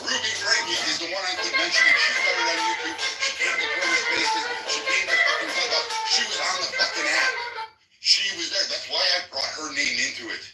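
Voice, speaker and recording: A man's voice: sits high, wildly swooping pitch, brisk, pushed and bright. A man in a live audio chat room, ranting furiously and swearing in a heated argument over a phone mic. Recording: echoey, distant, faint music behind.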